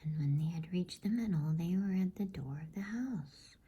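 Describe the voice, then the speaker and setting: A woman storyteller reading a bedtime story in ASMR style with a soft, hushed whisper. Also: fairly low pitch, animated, moderate pace, slightly rough. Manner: soft whispering, soothing hush.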